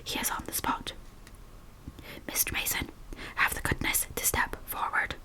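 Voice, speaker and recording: Woman whispering as a calm formal male lawyer. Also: lightly acted, rough recording. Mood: firm. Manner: distinct, measured and formal. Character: calm, steady male lawyer who halts a wedding.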